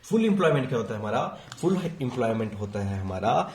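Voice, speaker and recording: A man's voice: medium pitch, big pitch swings, quick pace, darkish tone, slight background noise. A man with an Indian accent explains exam material as a teacher, mixing Hindi and English, repeating key phrases and posing questions that he then answers himself. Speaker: economics teacher. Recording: echoey, reverberant room sound.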